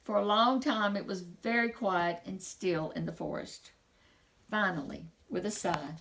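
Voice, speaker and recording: A woman storyteller reading a children's picture book aloud with expressive, dramatic phrasing. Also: medium pitch.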